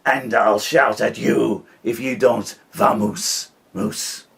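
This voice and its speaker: A man voicing a loud, bossy male eagle in a storybook reading, bellowing slowly. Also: mid-range voice, fairly even intonation, gravelly, slightly rough, voice pushed up, heavily acted. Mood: angry. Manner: bellowing, drawn out.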